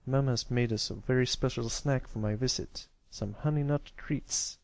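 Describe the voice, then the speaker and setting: A man's voice: mid-range voice, moderate pace, darkish tone, dry recording, natural voice. A man voicing a protective older brother stallion, a royal guard who is firm and caring, in a storybook reading. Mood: gentle, encouraging.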